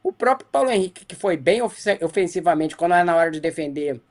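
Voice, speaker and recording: A Brazilian male sports journalist giving a critical player-by-player analysis in a studio debate, often ending points with a quick tag question. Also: a little high, expressive, a little mellow. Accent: Brazilian Portuguese.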